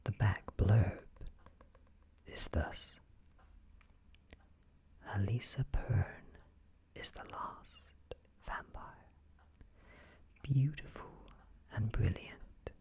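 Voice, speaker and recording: A British man reading a story aloud in a soft, breathy ASMR whisper, with hushed, deliberate phrasing and pauses. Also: fairly low pitch. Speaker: audiobook-style ASMR reader.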